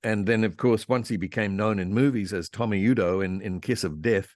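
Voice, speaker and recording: Deep voiced guy, radio historian chatting on a panel, stop-start but keen, very dry sound. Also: brisk, no echo. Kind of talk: animated, anecdotal panel discussion. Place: online convention video call.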